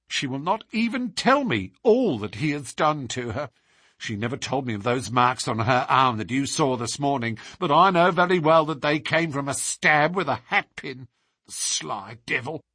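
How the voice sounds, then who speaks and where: A man's voice: medium pitch, a bit bright, slightly rough, no echo, studio quality. A man voicing a stern, loyal Australian lady's maid who speaks bitterly and indignantly in an audiobook story. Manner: indignant, outspoken.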